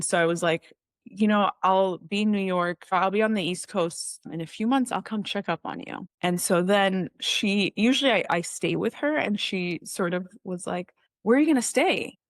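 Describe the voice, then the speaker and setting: A woman's voice: fairly low pitch, vocal fry, no echo, clean studio sound. A woman in her thirties from Israel with no accent, telling personal stories as a guest in a casual interview, filling her speech with "like" and "you know" and acting out what she said to people. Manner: chatty, heavy use of "like" and "you know", quoting herself.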